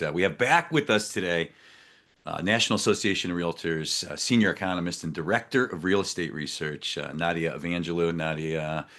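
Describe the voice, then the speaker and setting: A man's voice: deep voice, a bit bright. A man hosting an interview show, upbeat and friendly, with plenty of "uh" fillers. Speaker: show host.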